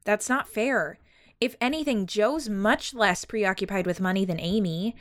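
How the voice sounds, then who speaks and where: A young woman playing an earnest, principled, polite eldest sister in an audio drama, hesitant and gently defensive. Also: medium pitch, measured pace, close to an everyday voice. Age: young adult. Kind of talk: audio drama acting, conversational.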